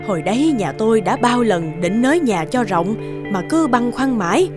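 A woman's voice: fairly high pitch, expressive, normal speed, music in the background, close to an everyday voice. A woman voicing a hearty, insistent and grateful village housewife in a folk-tale cartoon. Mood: grateful, hospitable.

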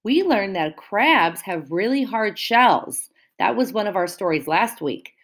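Slow, lively-voiced woman teacher reading aloud. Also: medium pitch. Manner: sing-song, asks the children questions and explains words. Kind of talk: read-aloud lesson for young children.

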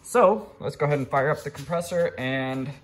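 A man's voice: fairly high pitch, moderate pace. A man vlogging casually and informally, walking viewers through a hands-on DIY project. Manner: informal, addressing viewers as guys. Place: home garage workshop. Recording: handheld recording with clear background noise.

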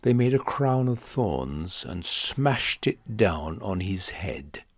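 A man reading scripture as a scripted audiobook narration, in a deliberate, dramatic storytelling manner. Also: deep voice, very animated, no echo, clean studio sound. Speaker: audiobook reader.

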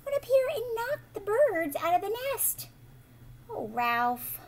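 An American woman in her thirties reads a picture book aloud to children expressively, pausing to ask the listener questions. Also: extremely high pitch, a little hiss or hum. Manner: animated, prompting listeners with questions. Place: living room at home, indoors.

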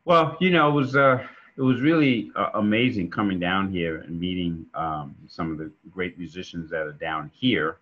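A middle-aged man, chatting relaxed as a guest on a video call, with many fillers. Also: sits low, moderate pace. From New York. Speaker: guest musician. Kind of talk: casual interview over video call. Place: home room indoors. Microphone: video call webcam. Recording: dull lo-fi call audio.

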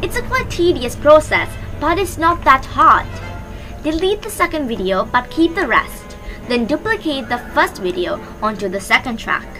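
High-pitched, lively young female tutorial host. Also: normal speed, poor audio. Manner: clear step-by-step instructing. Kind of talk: scripted instructional narration. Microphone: close microphone on a stand. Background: background music.

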